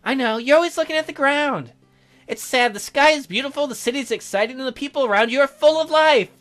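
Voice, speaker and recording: A man's voice: extremely high pitch, fast talker, fairly bright tone, soft background music, voice pushed up. A man voicing a cute, cheerful, teasing nerdy young woman from a visual novel, bubbly and earnest. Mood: earnest, eager. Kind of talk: acted visual novel dialogue.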